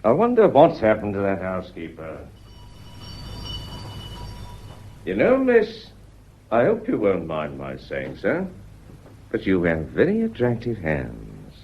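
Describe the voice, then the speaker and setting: An actor playing a courteous, dogged police inspector in a period mystery radio drama, politely and deliberately probing. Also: male voice, sits low, wildly swooping pitch, lo-fi sound, slight background noise, exaggerated voice. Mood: sly, polite. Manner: polite, deliberate questioning with frequent "sir" and "miss".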